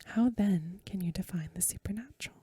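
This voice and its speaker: A woman reading aloud in a hushed, measured, breathy whisper, in the soft-spoken style of a bedtime ASMR recording. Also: lowish voice, no room sound, studio quality.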